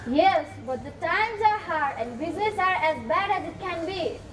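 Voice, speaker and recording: A woman's voice: extremely high pitch, expressive, normal speed. A woman acting a fed-up, businesslike homeowner in an amateur stage play, declaiming her complaints. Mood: resigned, reflective. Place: school stage with audience. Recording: distant stage mic, crowd noise.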